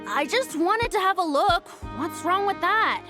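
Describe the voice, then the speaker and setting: A woman's voice: extremely high pitch, expressive, a little slow. A woman voicing an eager, polite young child tinkerer in a video game, earnest and childlike, over music. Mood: defensive. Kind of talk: English video game voice acting.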